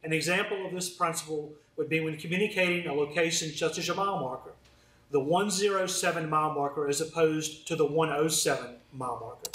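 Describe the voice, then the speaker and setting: A man's voice: fairly high pitch, fairly even intonation, a little fast, a bit bright. Man presenting formally in a roomy, distant recording. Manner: formal, instructional. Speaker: fire department deputy chief, presenter. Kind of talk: scripted training presentation. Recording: roomy, distant mic, faint noise.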